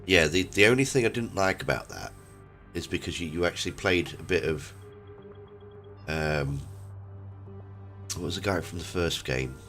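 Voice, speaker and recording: A man's voice: low-pitched, expressive, moderate pace, long pauses, a bit bright, soft background music. A man chatting casually as he streams a game, rambling a little and searching for words. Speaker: streamer and host. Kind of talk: casual chat while gaming.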